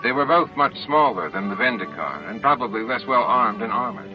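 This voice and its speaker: A man's voice: medium pitch, expressive, fairly quick. A man reading scripted dramatic narration for a science fiction audiobook. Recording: dull lo-fi books-on-tape sound with music. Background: music.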